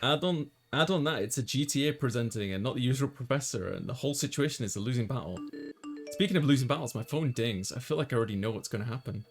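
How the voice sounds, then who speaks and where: Male let's player, mid-pitched voice, narrated read-aloud, casual commentary, music in the background. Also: lively intonation, fast talker. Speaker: let's player reading a visual novel aloud. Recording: music behind the voice.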